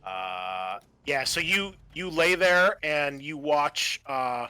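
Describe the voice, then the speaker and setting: A man's voice: on the high side, animated, slow pace, pushed and bright, clear, resonant tone, dry recording. A man narrating a tabletop horror game as game master, moving between vivid storytelling and casual rules talk.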